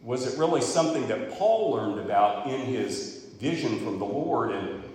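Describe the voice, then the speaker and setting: A man's voice: mid-range voice, sing-song, normal speed, a little mellow. A man preaching, reading scripture aloud and then explaining it in a conversational way. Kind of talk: expository Bible teaching. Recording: echoey hall with long reverb.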